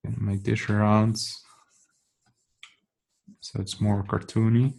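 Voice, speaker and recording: Slow, gravelly Dutch-accented man. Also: on the low side, flat delivery, darkish tone. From Netherlands. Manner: thinking aloud while sketching, halting with fillers and restarts. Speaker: drawing tutor. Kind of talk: casual drawing tutorial commentary.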